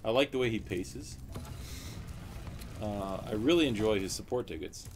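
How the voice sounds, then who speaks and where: A man's voice: on the low side, lively intonation, normal speed, noisy background. A man co-hosting a studio game livestream, chatting casually and joking in loose banter. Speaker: stream co-host.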